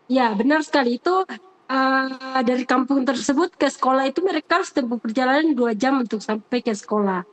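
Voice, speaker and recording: A woman's voice: sits high, a little fast. A woman, a teacher answering interview questions off the cuff, earnest and hesitant, often repeating her phrases.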